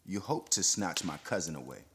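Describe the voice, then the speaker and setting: A man's voice: deep voice, expressive, normal speed, fairly bright tone, slight background noise. A man reading an audiobook, voicing a fierce, jealous young nobleman.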